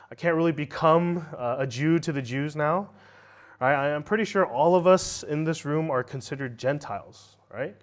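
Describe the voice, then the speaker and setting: A man's voice: fairly high pitch, normal speed. A man in his thirties preaching a sermon in a conversational way, tagging lines with "right?" and restarting phrases to repeat a point.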